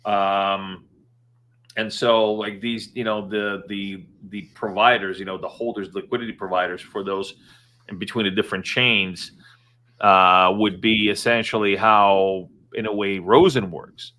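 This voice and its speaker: A man's voice: lowish voice, steady tone, measured pace, sharp tone. A man chatting casually from his home office, rambling with many fillers and restarts. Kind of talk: casual live-stream chat.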